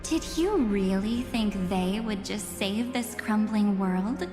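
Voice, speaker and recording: An actress playing an enigmatic, taunting young woman in a video game, speaking deliberately with theatrical condescension. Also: medium pitch, close to an everyday voice. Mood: scornful. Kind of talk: dramatic video game voice acting. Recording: echoey reverberant hall, music behind.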